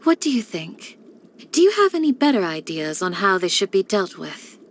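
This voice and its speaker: A woman voicing a calm, devoted young desert village guardian in a video game, speaking in a composed, measured, gracious way with formal phrasing. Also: mid-range voice, a little hiss or hum, lightly acted. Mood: politely menacing. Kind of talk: scripted video game character voice lines.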